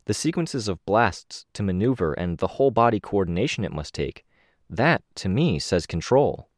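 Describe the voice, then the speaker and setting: A man reading an audiobook, voicing a composed young woman hero who speaks in a measured, respectful way. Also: fairly low pitch, lively intonation, no echo, clean studio sound.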